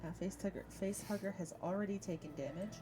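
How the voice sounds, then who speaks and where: A woman's voice: mid-range voice, fast talker, dark tone, soft background music. A woman acting as game master for a casual tabletop roleplaying game, hesitantly thinking aloud as she rules on play.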